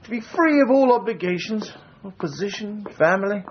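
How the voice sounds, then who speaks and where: Cocky, high-pitched posh gentleman. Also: expressive, normal speed, highs cut off. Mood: teasing, breezy. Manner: grandiloquent, teasing. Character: cocky, high-handed Edwardian gentleman adventurer. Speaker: actor in a radio play. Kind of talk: BBC radio period drama acting.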